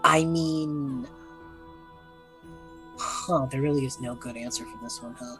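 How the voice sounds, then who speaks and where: A woman playing an awkward, sheepish young male mage student lost in time, husky and halting, in a tabletop game. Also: a bass voice, fairly bright tone, soft background music. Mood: sheepish, unsure. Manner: halting, evasive, rambling.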